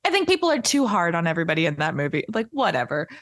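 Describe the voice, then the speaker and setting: A woman with a fairly low voice talks casually on a podcast in a dry, close recording. She is an enthusiastic storyteller who peppers her sentences with "like" and wanders into asides. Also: racing, dry recording. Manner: animated storytelling with frequent "like" and asides.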